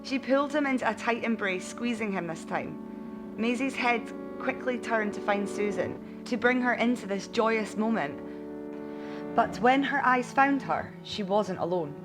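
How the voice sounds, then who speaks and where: A woman narrating a scripted bedtime story in an expressive audiobook style. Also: a little high, measured pace, music in the background.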